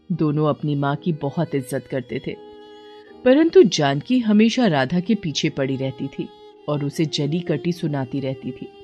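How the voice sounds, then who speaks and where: A woman's voice: low-pitched, mellow. A woman narrator reading a scripted moral tale as a storyteller.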